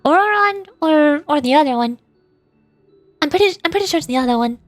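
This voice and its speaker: A woman's voice: sits high, animated, fairly quick. A woman streamer reacting casually to a game, thinking aloud in a puzzled way. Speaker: vtuber streamer. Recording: game music behind voice. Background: video game music.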